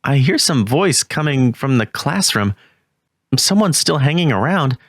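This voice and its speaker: A man's voice: medium pitch, animated, unhurried. A man narrating a manga story in first person, getting flustered and jumpy. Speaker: narrator and lead voice in a manga dub.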